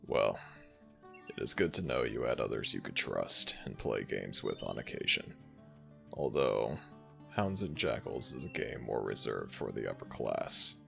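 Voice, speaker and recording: An ancient jackal god, as a man acts him out, speaking in an extremely low, gravelly rumble. He talks slowly and lets his pitch roll up and down as he teases the listener affectionately. Soft guitar music plays faintly underneath. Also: animated, exaggerated voice. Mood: playful warm. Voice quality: very deep gravelly voice, slow and soothing. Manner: affectionate, playfully self-mocking. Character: ancient jackal god, gentle, teasing, fond. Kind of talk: ASMR audio roleplay.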